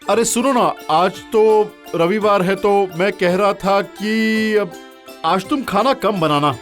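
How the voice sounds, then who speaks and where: A high, eager cartoon husband, who trips over his own words in quick repeats when something goes wrong. Faint music plays underneath. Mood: cheerful. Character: excitable young husband who stammers when flustered. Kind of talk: animated cartoon dubbing.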